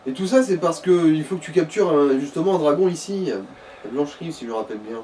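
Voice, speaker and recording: A man with a fairly high voice sits a little off the mic. He explains the game casually, rambling and swearing, with faint noise around him. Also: animated, fast talker, darkish tone, recorded from a distance. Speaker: gaming companion.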